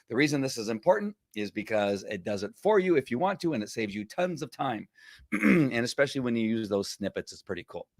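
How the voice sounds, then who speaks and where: A middle-aged man with a fairly low voice hosts a live tutorial from a small home studio. He talks casually into a boom mic, rambling with plenty of ums and restarts. The sound is close and dry. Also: no room sound. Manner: chatty, rambling, many fillers and restarts. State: recovering from losing his voice. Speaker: host of a live video show. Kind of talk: casual screen-share tutorial. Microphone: studio mic on boom arm.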